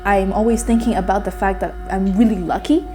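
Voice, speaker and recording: A woman's voice: mid-range voice, fairly even intonation, brisk, over music. A nineteen-year-old woman from Myanmar, a native Burmese speaker, speaking candidly in a personal interview with hesitant restarts. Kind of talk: personal testimony.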